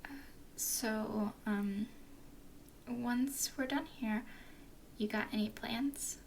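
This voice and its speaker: A woman voicing a flirty, playful female werewolf guide in an ASMR roleplay, speaking in soft, teasing whispers. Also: fairly high pitch, drawn-out words, gravelly, slight background noise, full character voice.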